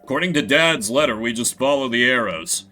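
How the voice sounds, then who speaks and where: Guy doing a young brash mouse kid from a game, bright and lively. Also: medium pitch, fairly quick, soft background music, voice pushed down. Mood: determined. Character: young, eager, brash little mouse brother. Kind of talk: video game dialogue read aloud.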